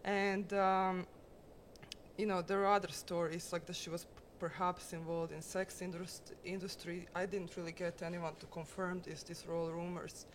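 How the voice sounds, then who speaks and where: A woman's voice: fairly low pitch, flat delivery, normal speed. A woman giving an informal, candid artist talk about their own work to an audience. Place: exhibition room with a seated audience. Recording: noisy room with ambient sound.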